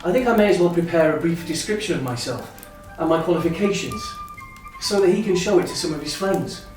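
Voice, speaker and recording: Male actor as hopeful, teasing Victorian brother, formal and wordy, lightly high voice, recorded far off. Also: lively intonation, brisk, a little mellow, close to an everyday voice. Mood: earnest, hopeful. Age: 30s. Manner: formal, wordy, earnest phrasing. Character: kindly, teasing, hopeful brother in his thirties, Victorian gentleman. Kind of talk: stage-style literary radio drama acting. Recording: very echoey, distant, music behind.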